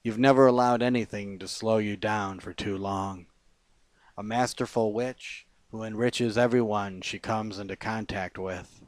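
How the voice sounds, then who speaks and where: A man reading his own poetry aloud as spoken word, phrasing it deliberately in short lines with pauses between them. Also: fairly low pitch, fairly even intonation, no room sound. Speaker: poet.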